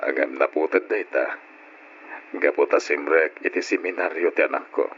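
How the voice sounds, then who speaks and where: Very deep-voiced grave father. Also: moderate pace, fairly bright tone. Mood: grave, pleading. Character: grave older father, solemn, pleading authority. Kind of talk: old-style Ilocano radio drama acting. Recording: dull, noisy old radio drama recording.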